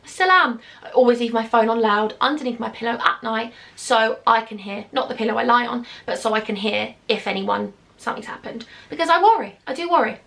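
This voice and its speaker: A woman's voice: on the high side, a little fast, vocal fry, bright, forceful tone. A young British woman telling a personal storytime on her vlog, chatty and confiding with sarcastic asides. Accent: British English. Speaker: YouTuber.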